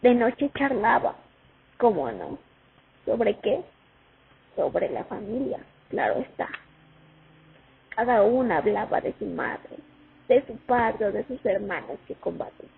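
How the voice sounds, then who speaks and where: A woman playing a war veteran who recalls her years at the front in an expressive radio play monologue. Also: medium pitch, takes it slowly, gravelly, pauses often, breathy edge, full character voice. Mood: reminiscing. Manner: reminiscing, expressive, dramatic. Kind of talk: radio play monologue, first-person testimony. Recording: tinny phone-like sound over music. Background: music.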